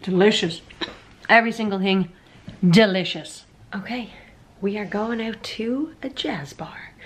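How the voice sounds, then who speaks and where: A woman, chatting casually and emphatically to a handheld camera as a travel vlogger. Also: medium pitch. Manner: expressive, emphatic, informal. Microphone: camera at arm's length. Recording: noisy handheld camera, street and room background.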